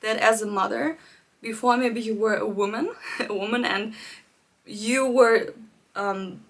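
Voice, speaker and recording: A woman with a slightly high voice guides her viewers through a live coaching session. She talks gently and reflectively, speaking straight to her audience and laughing softly between her points. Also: fairly even intonation, measured pace. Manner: addresses viewers directly, greets them by name. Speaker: life coach hosting a live challenge. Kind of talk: live-streamed coaching talk.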